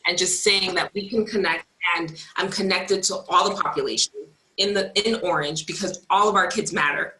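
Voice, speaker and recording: Casting earnest woman candidate, lowish bright voice, echoey room on a video call. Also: steady tone, moderate pace. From United States, daughter of Cuban immigrants. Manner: earnest, some fillers. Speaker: school board candidate. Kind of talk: closing statement, switching into Spanish.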